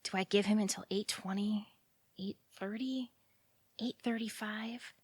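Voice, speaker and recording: A woman's voice: mid-range voice, steady tone, unhurried, husky, no echo. A woman acting in audio theatre as an anxious, lovelorn young woman who rambles and questions herself fretfully. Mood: rising desperation.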